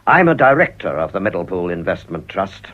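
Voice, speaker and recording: An actor playing a stern, honest middle-aged company director in a radio drama, speaking gravely and firmly with a British accent. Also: male voice, low voice, big pitch swings. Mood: accusing. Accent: British English. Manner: grave, firm, deliberate. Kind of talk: radio play acting. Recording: dull, muffled old radio recording.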